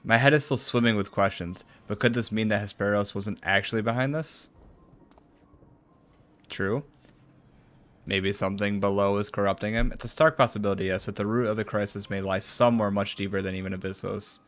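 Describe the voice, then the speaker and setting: A man's voice: on the low side, fairly even intonation, a little fast, fairly bright tone, faint music behind. A man streaming a video game, reading its formal cutscene dialogue aloud with some stumbles and casual reactions.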